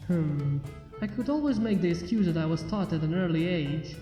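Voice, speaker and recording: A woman's voice: on the low side, expressive, moderate pace, mellow. A woman reading a first-person fan fiction story aloud as its narrator. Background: faint music.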